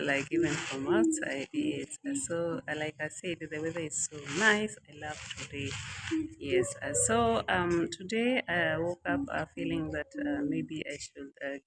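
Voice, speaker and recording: A woman's voice: deep voice, vocal fry, background noise. A woman in her thirties vlogging outside a small villa, reflecting aloud in a hesitant, rambling way, with many "uh" fillers and repeated phrases. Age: 30s. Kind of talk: reflective casual monologue.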